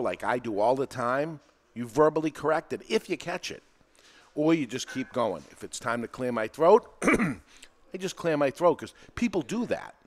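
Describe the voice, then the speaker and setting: Energetic New England podcast host, man. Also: mid-range voice. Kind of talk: live podcast talk. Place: podcast studio with a live audience.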